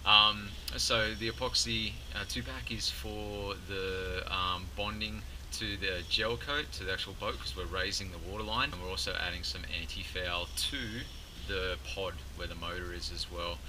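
A man's voice: sits low, fairly even intonation, moderate pace, few pauses. A man in his thirties hosting a sailing vlog in a casual narrating style, recorded with outdoor noise. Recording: clear outdoor background noise.

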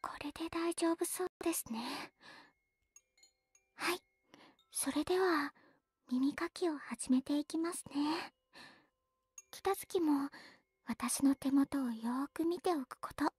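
A voice actress playing a gentle, patient woman teaching ear cleaning, whispering softly in a Japanese ASMR roleplay. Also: sits high, takes it slowly, pauses often, no echo. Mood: gentle, instructive. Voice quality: soft breathy whisper.

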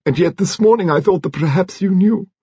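A man voicing an imperious, frank, stout elderly English noblewoman, speaking in a grand, emphatic, deliberate way for an audiobook. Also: high-pitched, warm tone, breathy edge, no room sound, lo-fi sound, voice pushed up. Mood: frank, bitter.

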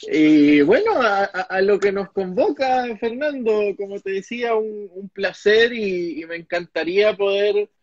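A man's voice: high voice, expressive, a little slow, hardly any pauses, dry recording. A young adult man interviewing a guest on a video call, polite, often repeating and stumbling over his words. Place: home office with boxes behind. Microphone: video call, webcam. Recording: dry, dull lo-fi call audio.

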